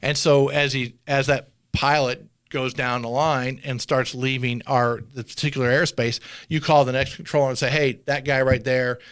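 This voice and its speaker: A man's voice: medium pitch, flat delivery, moderate pace, hardly any pauses, a bit bright, clean tone, no echo. Casual man explaining in a dry close recording. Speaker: former air traffic controller, interview guest.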